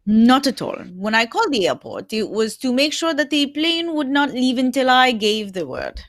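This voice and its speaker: Brief: woman as courteous, self-assured male sleuth, high voice, theatrical radio-play delivery, close dry sound. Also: big pitch swings, moderate pace, no echo. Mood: explaining, triumphant. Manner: theatrical, explanatory, punctuated with "eh?". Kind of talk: old-time radio mystery acting.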